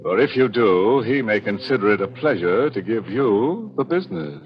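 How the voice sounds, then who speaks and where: An actor playing a gleefully macabre horror host on 1940s radio, sardonic and fond of morbid puns. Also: male voice, lowish voice, animated, dull audio, natural voice. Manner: sardonic, punning, chuckling, drawn-out delivery.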